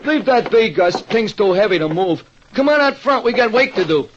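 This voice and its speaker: A man voicing a gloomy, excitable working-class American diner owner in 1940s radio style, plaintive and slangy. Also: high voice, normal speed, pitched above the performer's own voice. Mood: grumbling. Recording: dull, noisy old radio recording.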